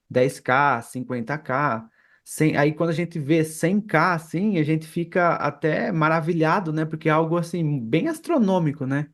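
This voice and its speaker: A Brazilian man hosting a casual interview, often checking for agreement with little tag questions. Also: fairly high pitch. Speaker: podcast host.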